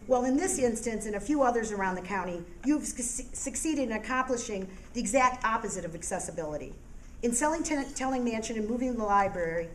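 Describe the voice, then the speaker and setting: A woman's voice: a little high, poor audio. Indignant American woman making a public speech. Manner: forceful, indignant, emphatic. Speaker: resident giving public comment at a board meeting. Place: indoor public meeting room. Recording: clear room noise, public meeting.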